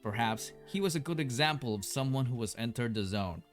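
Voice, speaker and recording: Male narrator, mid pitch, measured scripted storytelling over a music bed. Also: expressive. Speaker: documentary narrator.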